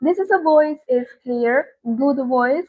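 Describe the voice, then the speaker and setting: A woman's voice: high voice, slow pace, mellow. A woman giving a live-stream sales demonstration in an instructional, repetitive way. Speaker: live-stream product seller.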